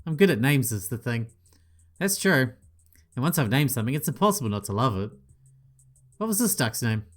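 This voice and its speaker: A man reads a mystery visual novel aloud, giving each character a voice and adding cheeky asides. His pitch sits mid range. Soft game music plays under him. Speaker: gaming channel host.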